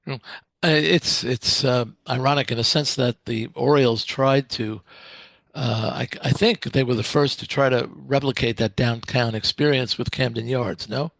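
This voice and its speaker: Gravelly-voiced male podcast host. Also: medium pitch, steady tone, normal speed, a bit bright, no echo. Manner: hesitant, repeats words. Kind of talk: podcast interview.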